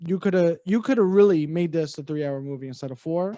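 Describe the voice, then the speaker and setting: A man's voice: fairly high pitch, fast, dark tone, no echo. A man cohosting a casual movie review podcast, talking bluntly with plenty of swearing and restarts. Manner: blunt, profane, rambling with restarts.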